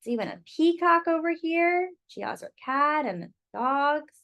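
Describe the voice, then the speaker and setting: A woman's voice: sits high, animated, moderate pace, a bit bright. A woman reading a picture book aloud to young children, expressively, with chatty asides to her listeners about the pictures.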